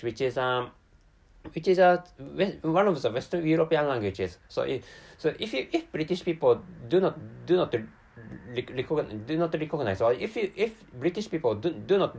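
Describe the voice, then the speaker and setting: Man, Japanese accent, native Japanese speaker, podcast host, stammered repetitions, rambling monologue, very lively intonation. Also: medium pitch, creaky. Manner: very halting, stammering repetition of words and syllables; rambling and digressive.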